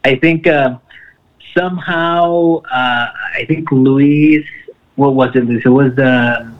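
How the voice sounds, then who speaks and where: A man, a band's keyboardist and bassist, telling a story in a loose, rambling way full of fillers. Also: fairly even intonation, takes it slowly, narrow, tinny audio. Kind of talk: casual interview.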